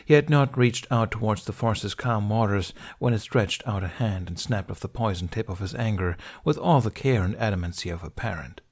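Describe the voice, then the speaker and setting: Low-voiced man reading a story. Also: moderate pace, few pauses, darkish tone. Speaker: audiobook narrator. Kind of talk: reading fan fiction aloud.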